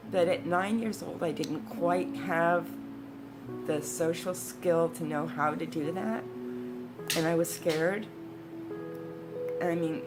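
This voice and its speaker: A woman's voice: on the low side, low-quality recording. An American woman in her sixties telling personal memories in a casual, reflective, conversational way. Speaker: storytelling vlogger. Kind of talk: personal reminiscing, casual storytelling.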